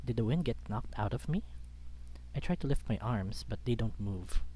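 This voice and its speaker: A man's voice: fairly low pitch, expressive, moderate pace, mellow, a little hiss or hum, low-quality recording. A man reading a first-person story aloud as a dramatic narrator. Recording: background music under the voice. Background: music.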